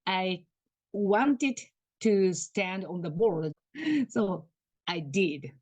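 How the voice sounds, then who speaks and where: A Japanese woman in her thirties with a Japanese accent, speaking halting English with soft laughs as a guest on a video call. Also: on the low side, no echo. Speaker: guest, tour guide. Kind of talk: casual interview over video call.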